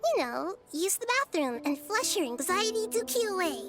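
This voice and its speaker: A small young girl deity in a video game. Her voice is very high and gentle, with a wise, playfully philosophical manner, over clear background music. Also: very animated, normal speed, exaggerated voice. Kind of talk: video game character acting.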